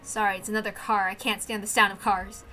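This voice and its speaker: An excitable, animated woman hosting a read-aloud series, moving between casual rambling and reading a story. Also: medium pitch, brisk, faint music behind. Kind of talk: casual chat and visual novel read-aloud.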